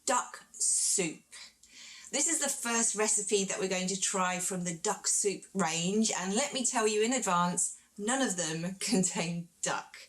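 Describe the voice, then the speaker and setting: A woman's voice: medium pitch, unhurried, low-quality recording. A middle-aged woman hosting a pet show in a chatty, upbeat way, a little tired. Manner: chatty, upbeat, laughs easily. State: tired after a vaccination. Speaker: show host and ferret keeper. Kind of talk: casual presenting to camera.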